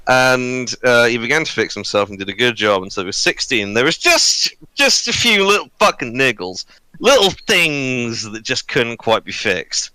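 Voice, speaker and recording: A man in his early twenties chatting and telling personal stories on a podcast, halting, sweary and self-deprecating. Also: medium pitch, big pitch swings, sharp tone, clean tone, no echo, low-quality recording. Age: early 20s. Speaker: podcast crew member. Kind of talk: casual podcast chat, personal storytelling. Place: online voice call recorded on own mic.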